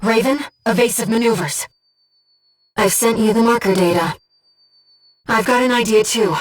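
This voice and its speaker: A woman voicing a gentle, disembodied female being who guides a pilot in a video game, speaking in a composed, earnest and precise way. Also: on the high side, normal speed, recorded from a distance, soft background music, natural voice, low-quality recording. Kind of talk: video game mission support voice acting.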